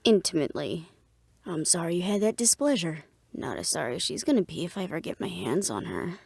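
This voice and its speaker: A woman acting a guarded, businesslike plotter in an audio roleplay drama, speaking in a measured, serious way. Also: on the low side, big pitch swings, creaky, a little mellow, husky, no room sound, full character voice.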